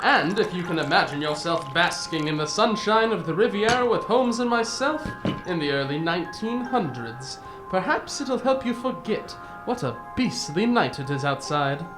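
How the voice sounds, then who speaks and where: A woman playing a genial, easily flustered older gentleman storyteller in a radio drama, with a proper, old-fashioned way of talking. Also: lowish voice, expressive, moderate pace, music in the background, close to an everyday voice. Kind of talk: radio drama narration and dialogue.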